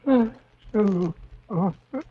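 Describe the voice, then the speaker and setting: An actor playing a frail, sentimental old father near death, speaking haltingly in a weak voice, in a 1940s radio drama. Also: high-pitched, expressive, mellow, breathy edge, full character voice. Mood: weary. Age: older. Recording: muffled vintage radio broadcast, faint music.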